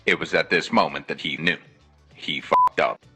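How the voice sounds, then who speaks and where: Deadpan mock-dramatic narrator, male actor, bottomless pitch, heavy gravel in the tone. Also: normal speed, soft background music, exaggerated voice. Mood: mock grave. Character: ominous deadpan meme-style narrator.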